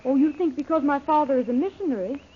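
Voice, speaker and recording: Woman acting a fretful young heroine, high earnest voice, old radio adventure, very tinny recording. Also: fast talker, a little hiss or hum, lightly acted. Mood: anxious. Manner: anxious, earnest questioning. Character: worried, spirited young missionary's daughter. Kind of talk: 1930s radio serial acting.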